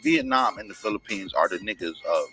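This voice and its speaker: Male radio co-host, bright forceful voice, loose profane chatter, faint music bed. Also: lowish voice, expressive, fairly quick. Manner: loose, profane, rambling with restarts. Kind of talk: casual chat. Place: radio studio. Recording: radio broadcast with music behind.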